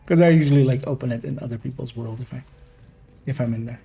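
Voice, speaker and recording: A man from Iran with an accent that mixes Iranian, American and Dutch English, giving relaxed, rambling gameplay commentary as a streamer. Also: medium pitch, fast, gravelly. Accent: Iranian mixed with American and Dutch English. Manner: relaxed, rambling explanations with little laughs.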